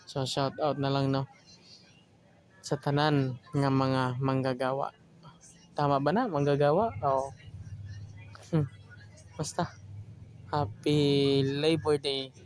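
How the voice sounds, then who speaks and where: Slow, flat-toned Filipino man chatting casually. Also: mid-range voice, slight background noise. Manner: joking, colloquial. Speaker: host of an audio drama channel. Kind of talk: casual rambling intro chat.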